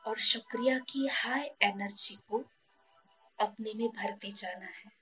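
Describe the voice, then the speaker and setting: A woman leads a guided meditation at a very unhurried pace. She speaks in short, measured phrases, leaving pauses between them. Soft music plays behind her mid-pitched voice. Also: breathy edge, rough recording. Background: background music.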